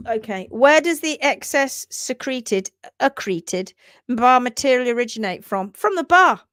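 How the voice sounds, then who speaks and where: A chatty woman hosts a live hoof-care stream with a fairly high voice, words tumbling out fast and doubled back on themselves. She laughs easily between points. The sound is close and dry with no room echo. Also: animated, fairly bright tone. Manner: rapid-fire, repeats words, chatty; laughs often. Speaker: livestream host, hoof care teacher. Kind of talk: casual live-stream teaching and reading viewer posts. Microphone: livestream setup.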